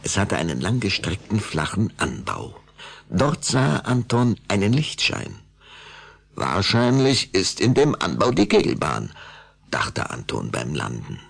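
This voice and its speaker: Ein Mann mit rauer, heiserer Stimme erzählt als Erzähler eines Kinderhörspiels eine Vampirgeschichte, dramatisch und nach Skript. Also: male voice, low voice, wildly swooping pitch, measured pace, exaggerated voice. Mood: suspenseful narration. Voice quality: gravelly, husky. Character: storyteller of a children's vampire tale. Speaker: narrator. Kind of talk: scripted dramatic narration.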